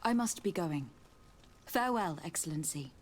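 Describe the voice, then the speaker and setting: A woman's voice: fairly low pitch, expressive, moderate pace, a little hiss or hum, natural voice. Actress as poised young noblewoman diplomat. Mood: composed. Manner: formal, tactful, composed. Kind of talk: scripted video game dialogue.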